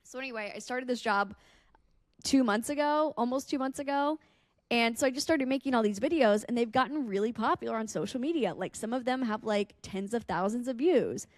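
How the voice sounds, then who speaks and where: A woman's voice: on the high side, fairly quick, no echo, clean studio sound. An American woman co-hosting a podcast, chatting and telling stories in a casual, joking way. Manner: joking, teasing. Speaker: radio personality and podcast co-host. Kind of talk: casual podcast chat and storytelling.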